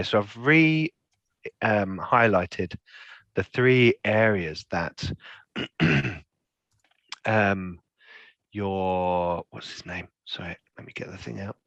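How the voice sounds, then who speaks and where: A man running a tabletop game as game master, encouraging and clearing his throat often. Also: low-pitched, a bit bright, dry recording, dull audio. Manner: affirming, frequent throat clearing.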